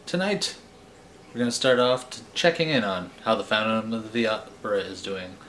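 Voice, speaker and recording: A man's voice: fairly low pitch, normal speed, fairly bright tone, slight background noise. A man hosting a storybook read-aloud in a wry, theatrical way, with casual asides. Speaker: host reading stories aloud.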